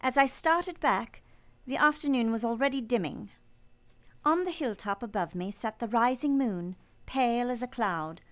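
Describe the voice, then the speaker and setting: An actress performs a young governess with a high voice. She is composed and earnest, with a steadfast streak. Her delivery is formal and measured, in the manner of a storytelling radio play. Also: expressive, lightly acted. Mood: reflective, measured. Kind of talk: first-person narration and dialogue in radio play.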